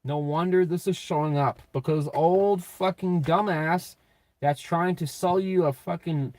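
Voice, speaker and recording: A man giving a casual, off-the-cuff tarot reading in a blunt, sweary, slangy way, with a slightly raspy voice. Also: fairly high pitch, steady tone, unhurried, a little mellow, no room sound. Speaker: tarot reader and channel host.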